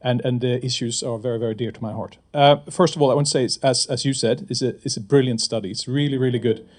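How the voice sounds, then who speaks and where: A man's voice: medium pitch, fairly even intonation, fast talker, a little mellow. A middle-aged man from Sweden, a former fisheries regulator, giving panel remarks with enthusiasm and many fillers and restarts.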